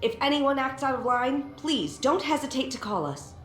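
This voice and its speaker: High-voiced, courteous policewoman. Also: animated, normal speed, a bit bright, a little hiss or hum. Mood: polite, official. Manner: polite, official greeting tone. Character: courteous female city police officer. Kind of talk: scripted video game announcement. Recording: roomy sound over background music.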